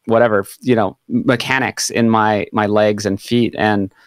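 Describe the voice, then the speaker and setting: A man's voice: lowish voice, little pitch movement, a little slow, clear, resonant tone, no echo. A man in his thirties from Hawaii, hosting a podcast from a home studio and talking eagerly with many repeated words and restarts. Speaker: podcast host. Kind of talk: casual interview chat. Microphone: studio mic on boom.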